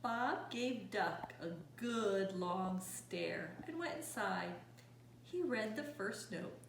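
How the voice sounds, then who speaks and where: A woman reading a children's picture book aloud expressively, pausing to ask young listeners questions. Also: medium pitch, expressive, gravelly. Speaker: storytime host reading aloud. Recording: roomy echo, distant mic, faint noise.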